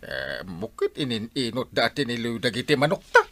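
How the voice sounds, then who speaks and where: A man with an Ilocano accent acting an excitable, puzzled village husband in a comedy drama. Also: mid-range voice, moderate pace. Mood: worried, vengeful.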